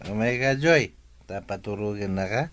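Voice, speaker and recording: An actor playing a brash, wheedling, jealous young boyfriend who is drunk, in a radio drama. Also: male voice, lowish voice, moderate pace, background noise, natural voice.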